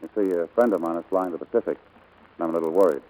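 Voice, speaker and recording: A man's voice: sits low, rapid-fire, dark tone, natural voice. A man playing a respectful, worried naval aide in a 1940s radio drama, deferential in manner. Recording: noisy vintage 1940s radio recording.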